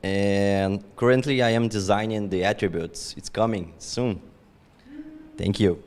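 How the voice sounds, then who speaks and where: A man's voice: fairly low pitch, fairly even intonation, unhurried, a little mellow, slight background noise. A man from Brazil, a designer and developer, introducing himself briefly and politely. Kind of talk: casual self-introduction.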